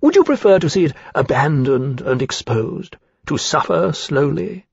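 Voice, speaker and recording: A man's voice: fairly high pitch, sing-song, unhurried, gravelly, slightly rough, no echo, highs cut off, pitched above the performer's own voice, exaggerated voice. A man playing a haughty, scornful aviator officer with a cutting, impatient manner in a period fantasy audiobook. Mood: scornful, indignant.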